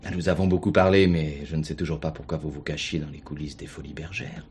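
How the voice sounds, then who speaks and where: An actor playing a calm, shrewd police commissioner in a French stage thriller, questioning with deliberate politeness. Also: male voice, a bass voice. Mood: politely probing. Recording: 1970s stage play recording, some hiss.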